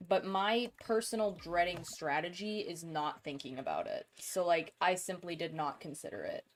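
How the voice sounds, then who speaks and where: A woman's voice: lowish voice, lively intonation, normal speed, slight background noise. A young adult woman chatting casually and informally, swearing freely. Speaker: companion on a friend's livestream. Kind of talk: casual chat.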